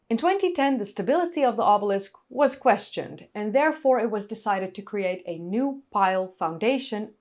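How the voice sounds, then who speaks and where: Dutch-accented woman with very lively intonation. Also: medium pitch. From North Holland, Netherlands. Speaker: history video presenter. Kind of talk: scripted educational narration with casual asides to viewers.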